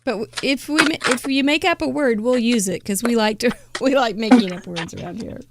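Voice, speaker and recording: A woman's voice: fairly high pitch, brisk, noisy background. A woman hosting a live crafting show, chatting playfully and laughing often. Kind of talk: casual live-stream chat.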